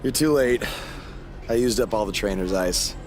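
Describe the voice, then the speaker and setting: A man's voice: on the low side, moderate pace, a little mellow, soft background music, close to an everyday voice. A man playing an earnest, wisecracking young champion wrestler in a video game cutscene, speaking casually. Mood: earnest, doubtful. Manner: casual, conversational.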